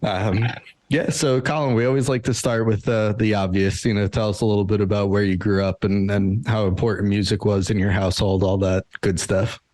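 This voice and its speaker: A man's voice: on the low side, fairly even intonation, fairly quick, a little mellow. A man hosting a podcast, chatting in an easygoing, rambling way. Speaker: podcast host. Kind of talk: casual podcast chat.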